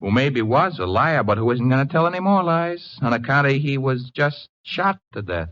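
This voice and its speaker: An actor playing a brash, wisecracking detective's assistant in a 1950s radio drama, sardonic, breezy and slangy. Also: male voice, medium pitch, sing-song, normal speed, heavy background noise, close to an everyday voice. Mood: wry, alert. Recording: dull, lo-fi old radio recording.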